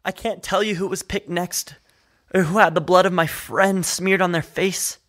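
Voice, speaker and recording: A man acting as a devoted friend left haunted and grief-stricken. His voice sits high for a man, and his delivery is solemn and emotional, in the dramatic style of an audio play. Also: fairly even intonation, normal speed, a bit bright. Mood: haunted, grieving.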